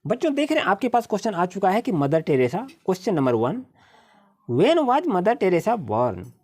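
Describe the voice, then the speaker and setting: A woman with an Indian accent teaching a school lesson to children, reading English sentences slowly word by word and then explaining them. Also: mid-range voice, sing-song, darkish tone.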